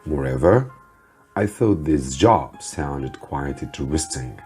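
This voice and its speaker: A man, an Arabic speaker, reading a story to students as a teacher, with deliberate theatrical emphasis. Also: very deep voice, lively intonation, dark tone, faint music behind. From Egypt. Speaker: English teacher.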